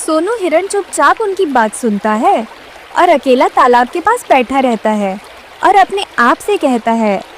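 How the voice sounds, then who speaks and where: A woman's voice: sits high, very animated, unhurried, slight background noise. A woman narrating a scripted children's moral story as a storyteller.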